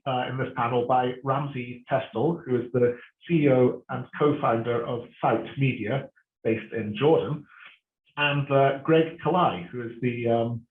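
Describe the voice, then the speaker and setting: A man with an average-pitched voice hosts an event informally. His delivery is halting and a little hesitant as he makes introductions. He sounds thin and phone-like, with a clear roomy echo around him. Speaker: event host introducing panels. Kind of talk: informal, off-the-cuff event hosting.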